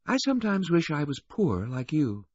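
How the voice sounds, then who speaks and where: Man voicing a high-pitched sulky teenage rich girl. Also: sing-song, normal speed, a little mellow, dry recording, lo-fi sound. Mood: wistful. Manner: disgruntled, blurting.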